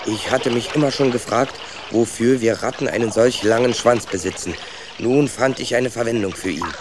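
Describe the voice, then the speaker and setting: Young rat hero and storyteller, played by a man: curious, stubborn, medium-pitched, children's radio drama with ambient effects behind. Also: normal speed, background noise, natural voice. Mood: absorbed storytelling. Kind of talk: first-person storytelling in children's radio play.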